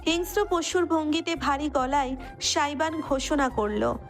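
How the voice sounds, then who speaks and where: A woman's voice: sits high, a little slow, faint music behind. A woman narrator reading a romance story as dramatic scripted narration.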